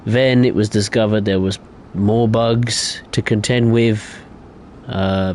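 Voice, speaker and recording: Rambling young man, low voice. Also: a little mellow, a little hiss or hum. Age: young adult. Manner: rambling, hesitant, opinionated. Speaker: streamer. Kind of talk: casual live-stream chat.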